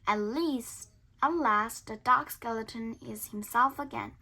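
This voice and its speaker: Slow, high-pitched woman reading a children's story. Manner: theatrical, dramatic, with hushed whispers and emphatic bursts. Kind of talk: children's picture book read-aloud.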